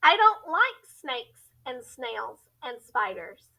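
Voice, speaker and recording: A woman's voice: high voice, sing-song, takes it slowly, gravelly, bright, forceful tone, exaggerated voice, poor audio. A woman voicing an anxious little schoolgirl from a children's storybook, earnest and plaintive. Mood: defiant.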